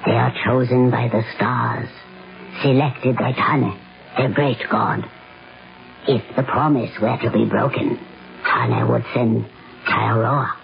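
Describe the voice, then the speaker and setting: Regal old-world mother, stern, creaky, deliberate, portentous, dull old radio recording. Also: medium pitch. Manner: slow, solemn, portentous, riddling. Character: stern, fatalistic Samoan mother of a princess, regal. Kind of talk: 1970s radio drama acting.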